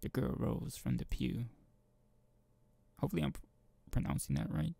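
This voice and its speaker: A man with a very gravelly, husky voice talks through a visual novel as he plays. He rambles and hesitates, thinking aloud, with lively swings in pitch. The delivery is casual, like a hobby let's play. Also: moderate pace, no echo. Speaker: gaming video host.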